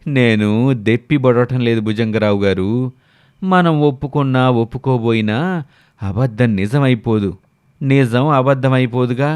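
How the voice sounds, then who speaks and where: A man's voice: medium pitch, normal speed, a little mellow, clean tone, dry recording, natural voice. A man playing a frank, cynical village man needling his companion in a moral story. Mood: blunt, resigned.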